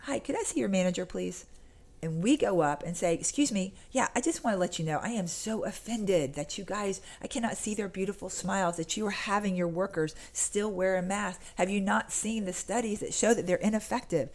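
A woman's voice: medium pitch, fairly quick, a little mellow. An American woman speaking as an activist guest in a conversational interview, earnest and insistent, acting out little exchanges as she goes. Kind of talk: conversational interview talk with acted-out anecdotes.

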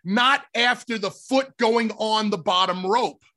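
Bright high-voiced male show host. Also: unhurried. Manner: conversational, hedging, moderating the debate. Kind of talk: casual sports talk.

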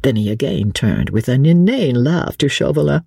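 Woman narrator, husky and creaky, theatrical suspenseful storytelling, dry studio sound. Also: extremely low pitch, very animated, normal speed, dark tone, dry recording. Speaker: audiobook narrator. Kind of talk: dramatic scripted narration.

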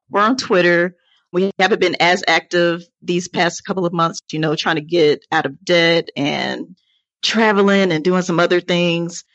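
Mid-pitched woman guest on a lo-fi recording. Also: fairly bright tone, dry recording. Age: 30s. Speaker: podcast guest. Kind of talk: casual interview answers.

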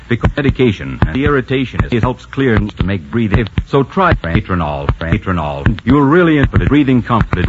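A man announcing in scripted 1940s radio style, reading sponsor copy and credits. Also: fairly low pitch. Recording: very noisy, muffled 1940s radio recording.

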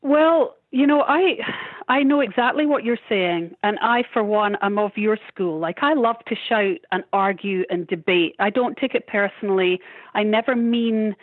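A woman's voice: mid-range voice, normal speed, no echo. A woman in her fifties, a musician being interviewed, talking in a passionate, outspoken and emphatic way. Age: 50s. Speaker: musician guest. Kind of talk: candid interview conversation. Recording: tinny phone-line sound.

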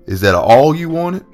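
A man's voice: on the high side, darkish tone. An actor playing a proud, lovestruck male senator in an audio drama, talking in a casual, easygoing way. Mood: flirtatious.

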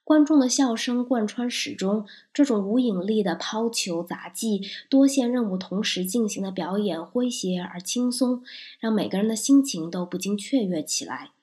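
A woman reads a scripted first-person review for an audio podcast. Her voice sits at a medium pitch. She speaks as a reviewer narrating from a prepared text. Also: animated, normal speed, dark tone.

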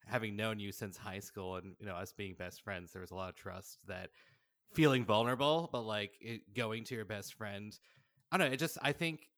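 A man's voice: on the low side, moderate pace, fairly bright tone, no room sound, studio quality. A man speaking casually as a podcast guest, explaining creative work in a conversational way. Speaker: podcast guest, audio drama writer-director. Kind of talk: casual conversational interview answers.

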